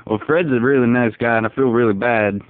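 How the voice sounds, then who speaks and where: A man playing a folksy, easygoing, bewildered dad, rambling deadpan on a prank phone call. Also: mid-range voice, a little fast, natural voice. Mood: resigned, musing. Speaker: prank caller. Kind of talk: casual phone prank, improvised. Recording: muffled narrow phone line.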